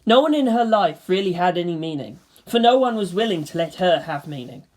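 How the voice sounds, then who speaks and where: Expressive woman narrating a dramatic story. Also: fairly low pitch, fairly quick. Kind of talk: dramatic scripted narration of fan fiction.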